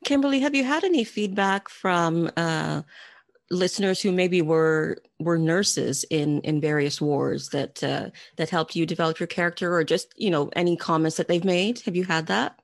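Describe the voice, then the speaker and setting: A woman hosting a casual interview, phrasing her questions haltingly. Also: fairly low pitch, gravelly, no echo, clean studio sound. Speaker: interview host.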